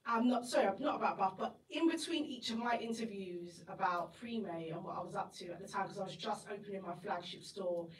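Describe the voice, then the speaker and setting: A woman's voice: medium pitch, brisk, few pauses, a little hiss or hum. Chatty woman in an echoey room. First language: Igbo. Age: 30s. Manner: chatty, laughs often, acts out her own inner voice. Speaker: festival guest speaker. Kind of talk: animated interview storytelling. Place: event venue indoors. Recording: echoey room, mic at a distance.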